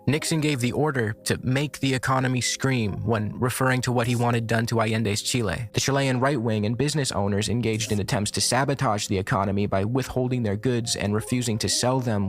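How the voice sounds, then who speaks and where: A man reading a scripted video essay in a measured, wry way, listing facts and figures. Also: mid-range voice. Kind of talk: scripted narration. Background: faint music.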